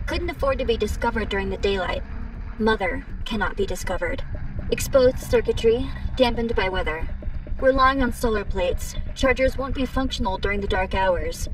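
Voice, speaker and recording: A woman's voice: a little high, moderate pace, recorded from a distance, natural voice, low-quality recording. A woman voicing a stranded, methodical robot giving clipped, detached status reports in a science-fiction audio story. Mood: detached, systematic.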